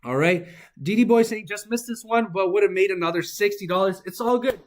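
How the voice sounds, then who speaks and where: A man with a notably high voice hosts a livestream from an office. He talks informally, mixing a promotional pitch with replies to chat comments. His manner is hype-driven and leans on repeated catchphrases. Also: brisk. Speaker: livestream host, trader. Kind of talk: live-stream sales pitch and chat replies.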